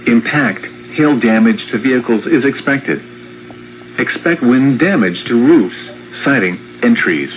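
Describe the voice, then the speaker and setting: A computer-generated male voice reading an automated emergency weather broadcast in an even, synthetic manner, played from a weather radio speaker. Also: mid-range voice, lo-fi sound, slight background noise. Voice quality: synthetic, evenly paced computer voice.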